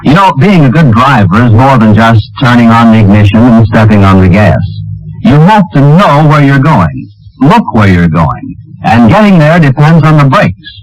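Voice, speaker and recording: A man's voice: medium pitch, very animated, moderate pace, low-quality recording. A man reading a scripted announcement in vintage radio style. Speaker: commercial announcer. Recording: old radio recording, background crowd sound.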